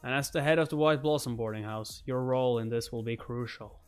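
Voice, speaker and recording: A man's voice: medium pitch, a little fast, smooth, soft background music, close to an everyday voice. A man voicing a solemn, commanding older martial sect leader in a comic read-aloud, speaking formally. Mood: stern, commanding.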